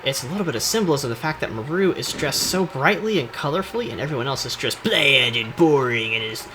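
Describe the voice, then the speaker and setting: A man's voice: medium pitch, expressive, brisk, noisy background. A man hosting casual comedic commentary on game cutscenes, joking and rambling in slangy speech. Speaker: video host.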